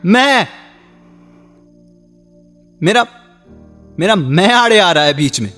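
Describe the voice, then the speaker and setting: A withdrawn young husband in a radio play, hurt and guarded. His delivery is halting and emotionally strained. Long hall reverb surrounds him, with faint music behind. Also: high-pitched, sing-song, sharp tone, full character voice. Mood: hurt, resentful. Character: wounded, withdrawn young husband hiding resentment behind duty. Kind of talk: Hindi radio drama acting.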